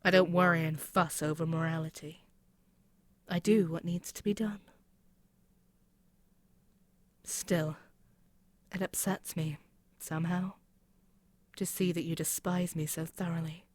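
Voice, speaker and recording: An imperious demon queen, acted by a woman for an audio roleplay, speaks slowly and close, with a dry, roomless sound. She is haughty and possessive, musing with pauses as if toying with the one listener before her. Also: lowish voice, steady tone, no echo. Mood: wistful, brooding. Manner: haughty, deliberate, musing, with pauses and murmured asides. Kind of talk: scripted ASMR audio roleplay, spoken intimately to the listener.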